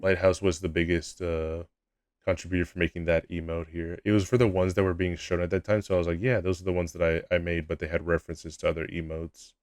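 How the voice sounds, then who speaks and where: A young man with a low voice, chatting casually with his live-stream chat in a laid-back, rambling way at a desk mic at home. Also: flat delivery, fast, no room sound, clean studio sound.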